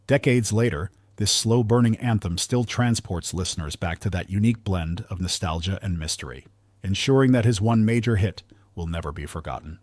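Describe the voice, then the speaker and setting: A man reading scripted countdown narration in a polished, measured storytelling manner, close on the microphone. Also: low-pitched, darkish tone, slight background noise.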